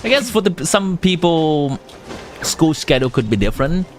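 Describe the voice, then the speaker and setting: Young man, vietnamese accent, game streamer, animated up-and-down voice, game music playing behind. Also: a little high, normal speed. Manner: chatty, answering chat while giving game advice. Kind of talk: casual live-stream chat.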